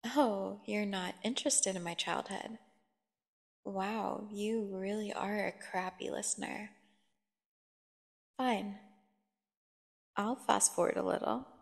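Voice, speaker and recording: Woman acting as a slow, creaky-voiced seductive vampire captive. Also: medium pitch. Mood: annoyed. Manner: teasing, slow, theatrical, addressing the listener directly. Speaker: audio roleplay performer. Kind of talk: ASMR fantasy horror roleplay acting. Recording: long echoing reverb.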